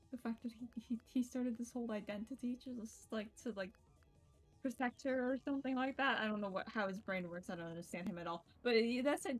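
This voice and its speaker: A woman streamer reading a visual novel aloud and adding casual, playful, expressive commentary. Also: on the high side. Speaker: game streamer.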